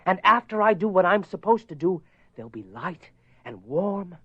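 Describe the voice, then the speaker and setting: A man's voice: high-pitched, very animated, moderate pace, pushed and bright, breathy edge, no room sound, heavily acted. A man playing a desperate husband in a horror radio play, intense and imploring as he confronts a spirit. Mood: soothing, pleading. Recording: muffled lo-fi radio drama recording.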